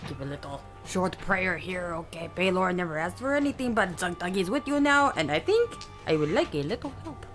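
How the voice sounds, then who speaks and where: A woman's voice: on the low side, animated, a little fast, a bit bright. A woman playing a tabletop game, chatting casually in a playful, exclamatory way. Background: music.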